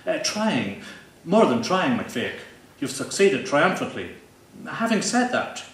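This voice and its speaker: A man acts out a male literary agent in a comic novel reading. He is agitated, evasive and full of flattery. His voice is bright, heard from afar in a roomy space. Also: on the high side, unhurried. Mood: flustered, flattering. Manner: agitated, sycophantic. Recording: roomy, distant live recording with faint noise.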